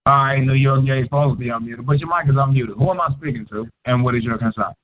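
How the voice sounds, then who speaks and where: A man's voice: medium pitch, quick pace, no room sound. A man hosting a call-in show, talking bluntly and confrontationally, swearing often and pressing callers with pointed questions. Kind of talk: combative call-in talk. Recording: tinny phone-line audio.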